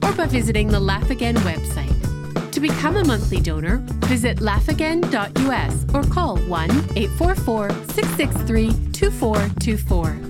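Lively female radio announcer over loud music. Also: on the high side, moderate pace. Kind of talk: scripted promotional narration.

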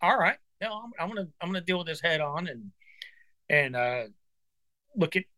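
A man's voice: fairly high pitch, lively intonation, moderate pace, pushed and bright, no echo. A man of fifty, a guest musician chatting casually and earnestly, calling his host brother and dude. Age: 50s. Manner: earnest, encouraging, says brother and dude a lot. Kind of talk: casual chat.

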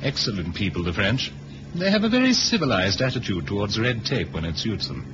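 Noisy muffled old radio play, breezy senior spy boss, patronising, voice swings up and down. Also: male voice, on the low side, very animated. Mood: confident. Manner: brisk, patronising, assured. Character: breezy, avuncular senior intelligence chief, male. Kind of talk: 1970s radio drama acting.

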